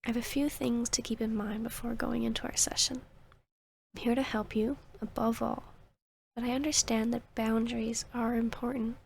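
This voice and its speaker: A woman playing a calm, soothing vampire therapist in an ASMR roleplay, speaking softly and gently in a breathy, measured, near-whispering way. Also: on the high side, slight background noise. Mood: calm, reassuring. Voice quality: breathy, soft. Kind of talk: ASMR roleplay, soft-spoken to the listener.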